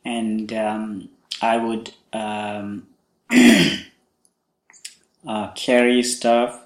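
Monk guy from sri lanka, talks slow with lots of ums, low voice, echoey room. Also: little pitch movement. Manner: slow, halting, many fillers. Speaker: podcast host, Buddhist monk. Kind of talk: casual spoken monologue.

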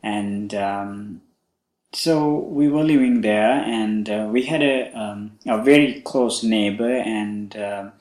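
A young man from Sri Lanka, a Buddhist monk hosting a podcast, talking slowly with many pauses and fillers about his life. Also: fairly low pitch, a little mellow, echoey room. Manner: slow, halting, many fillers. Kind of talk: casual spoken monologue.

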